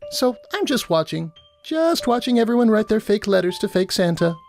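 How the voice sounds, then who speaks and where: A man's voice: sits high, big pitch swings, normal speed, a little mellow, soft background music, pitched above the performer's own voice. A man voicing a childlike, wistful teenage girl with an earnest, wavering manner in a story reading.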